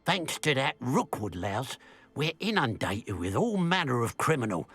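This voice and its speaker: An actor playing a bitter, suspicious village shopkeeper in a video game, grumbling with dramatic sarcasm. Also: male voice, medium pitch, big pitch swings, unhurried, a bit bright, breathy edge, heavily acted. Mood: indignant. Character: bitter, suspicious village shopkeeper man, resentful of his sister.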